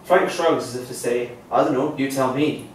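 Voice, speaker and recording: A man's voice: medium pitch, fairly even intonation, normal speed. A man narrating stage directions from a script at a table read. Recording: echoey room, distant mic, faint noise.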